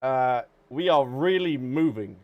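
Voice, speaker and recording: A man's voice: medium pitch, lively intonation, measured pace, highs cut off. A man giving casual, chatty livestream commentary on a game, talking straight to his viewers. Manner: chatty, addressing viewers directly. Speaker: game streamer. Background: game music.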